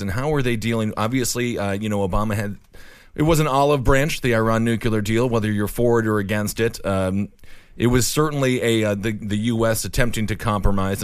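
A man's voice: on the low side, no room sound. Guy hosting a casual politics chat, long rambly questions, swears a bit, dry room. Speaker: interviewer, host. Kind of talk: conversational political discussion.

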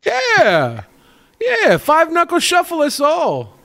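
A man's voice: very high-pitched, huge pitch swings, takes it slowly, creaky, fairly bright tone. A man bantering casually on a podcast panel, crude and joking, swearing freely.

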